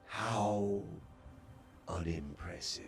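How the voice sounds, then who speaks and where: A man voicing a menacing, ancient male robot villain in a video game cutscene, speaking with a slow, gravelly rumble. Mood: cold. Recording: game cutscene with music behind.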